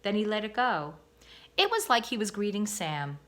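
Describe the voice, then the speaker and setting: A woman's voice: mid-range voice, expressive, normal speed, a bit bright. A woman teacher reading a children's novel aloud, careful with small slips. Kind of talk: storybook read-aloud.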